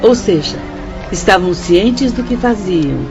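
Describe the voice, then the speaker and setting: An actress playing a stern, sorrowful spirit instructor who preaches formally in a radio drama style, with a Brazilian accent. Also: female voice, mid-range voice, animated, slow pace, muffled recording, over music.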